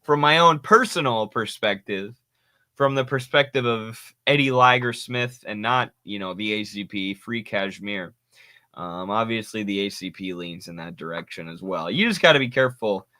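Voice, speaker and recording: A man's voice: fairly low pitch, expressive, normal speed, sharp tone, no room sound, clean studio sound. A man hosting a casual political livestream, emphatic and opinionated, thanking viewers for donations. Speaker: show host.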